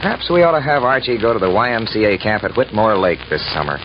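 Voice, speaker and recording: A man's voice: fairly low pitch, very animated, fast talker. A man playing a hesitant but self-assured middle-aged father in a 1940s radio comedy. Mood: musing. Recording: dull, noisy 1940s radio recording.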